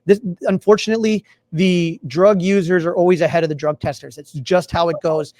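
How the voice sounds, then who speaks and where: A man with a high voice talks sports as a podcast co-host. He is opinionated and emphatic in a casual fan's way, and the sound is close and dry. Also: fairly even intonation, fairly quick, darkish tone, no echo. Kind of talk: casual sports talk and commentary.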